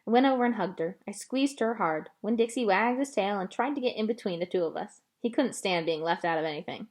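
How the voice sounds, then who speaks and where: A woman reads a children's novel aloud as its narrator. Her scripted storytelling is expressive, with animated, wide-ranging intonation. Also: mid-range voice, a little fast.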